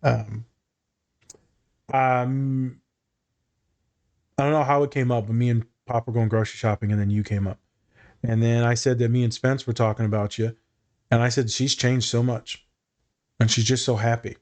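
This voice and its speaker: Guy on a podcast just chatting, therapist vibe, lots of ums, middle pitch. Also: level tone, moderate pace, long pauses, a little mellow. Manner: chatty, swears casually, many fillers. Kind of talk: casual chat.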